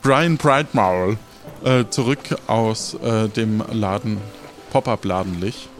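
A man's voice: on the low side, slow, soft background music. A man narrating an improvised adventure as game master in casual, conversational speech.

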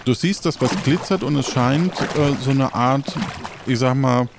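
A man leads an improvised adventure as game master. His speech is colloquial and a little halting, with an everyday narrating style. Some noise sits behind the voice. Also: mid-range voice, gravelly.

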